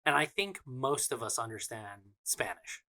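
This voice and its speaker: A bright-sounding young man chatting on a podcast. He banters loudly, jokes and swears freely. His tone is casual and brash. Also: mid-range voice, big pitch swings, normal speed. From United States. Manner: loud, profane, bantering and joking. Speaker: podcast co-host. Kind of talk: casual chat.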